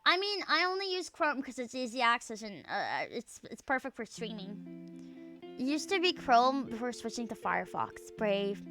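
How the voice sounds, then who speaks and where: Bright-voiced young woman rambling on stream. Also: on the high side, big pitch swings, moderate pace, faint music behind. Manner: rambling, profane, filler-heavy, trails off mid-sentence. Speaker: VTuber streamer. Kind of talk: casual stream chat, reading chat aloud.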